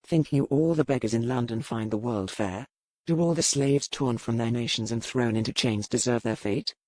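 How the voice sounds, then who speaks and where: An actor playing a dangerous, brooding pirate earl in a romance audiobook, calm and measured, with formal old-fashioned phrasing. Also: male voice, mid-range voice, dry recording, lightly acted, rough recording. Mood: cynical. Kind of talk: dramatized romance audiobook dialogue.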